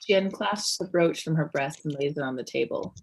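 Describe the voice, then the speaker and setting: A woman narrates stage directions in a play reading over a video call. Her voice is a little on the low side, and she delivers the script as narration. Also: fairly quick, vocal fry, breathy edge, poor audio.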